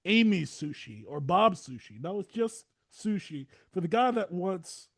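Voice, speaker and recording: A man with a notably high voice and an American accent hosts a casual podcast conversation. He rambles conversationally, often doubling back and repeating phrases for emphasis. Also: very animated, measured pace. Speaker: podcast host. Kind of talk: casual interview chat.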